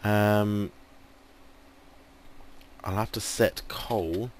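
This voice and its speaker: A man's voice: lowish voice, fairly even intonation, very slow, a little hiss or hum. An English man commentating casually on his game, thinking aloud with many ums, while losing his voice. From England. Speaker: gaming video host. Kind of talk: casual let's play commentary.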